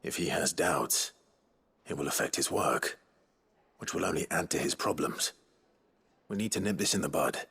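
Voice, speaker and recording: An actor playing an earnest, considerate fantasy game hero, measured and polite with a raspy voice. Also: male voice, lively intonation, fairly bright tone, heavily acted. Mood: concerned, thoughtful. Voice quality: low, husky, raspy. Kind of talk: video game voice acting.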